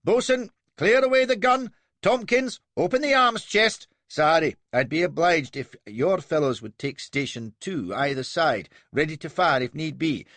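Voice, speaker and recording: A man voicing an eager, hot-tempered young naval officer who barks orders in a high, cracking voice. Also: measured pace, no room sound. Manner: excitable, shrill, barking orders.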